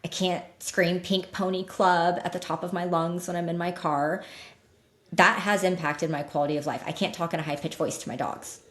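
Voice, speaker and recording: Low-voiced woman in a roomy echo. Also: steady tone, moderate pace. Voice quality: slightly deep voice that cracks when loud. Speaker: expert guest, coach. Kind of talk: interview conversation, explaining.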